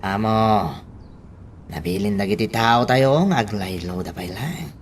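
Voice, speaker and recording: A man's voice: on the low side, a little slow, husky. A man acting a cautious, deferential gang henchman in a radio drama. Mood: wary, deferential. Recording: faint background noise.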